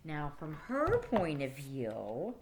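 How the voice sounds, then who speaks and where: A woman reading a picture book aloud to children, expressive and dramatic, with explanatory asides. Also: deep voice, takes it slowly, slight background noise. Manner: expressive, dramatic, dropping into hushed whispers. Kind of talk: animated read-aloud with teaching asides.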